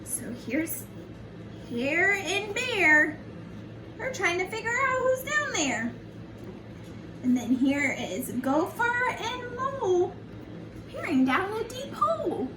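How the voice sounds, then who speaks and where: A woman reading a picture book to young children like a preschool teacher, in an animated, sing-song, encouraging way with simple questions to her listeners. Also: extremely high pitch, slow, pauses often. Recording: echoey room, distant mic, noisy background.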